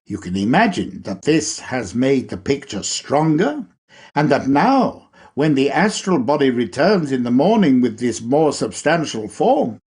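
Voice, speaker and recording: Husky, slow-spoken man reading a lecture. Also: medium pitch, big pitch swings, darkish tone. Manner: deliberate, instructive, emphatic phrasing. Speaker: reader of a lecture. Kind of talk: read-aloud esoteric lecture.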